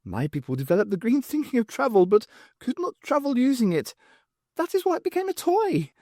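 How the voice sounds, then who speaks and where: A performer voicing an earnest, wistful male alien with a high-pitched voice and a literal, emphatic manner in an audiobook. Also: sing-song, a little fast, a little mellow, no echo, clean studio sound. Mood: earnest, insistent.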